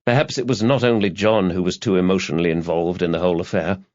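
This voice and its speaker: A man's voice: low voice, fairly even intonation, fast, a little mellow, no echo. A man narrating a crime thriller audiobook in a scripted, dramatic storytelling style. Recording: slightly dull, lo-fi audio.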